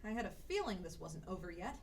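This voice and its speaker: A woman's voice: fairly low pitch, big pitch swings, quick pace, gravelly. A woman playing a secretive, defiant character under pressure in a radio drama. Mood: musing. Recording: slightly distant mic, noisy ambience.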